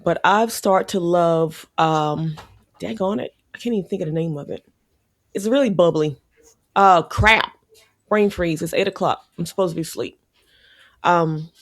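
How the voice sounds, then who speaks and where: A woman in her late forties hosting a podcast, chatting candidly, laughing often and swearing casually. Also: on the low side. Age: 40s. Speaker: podcast host. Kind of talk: casual chat.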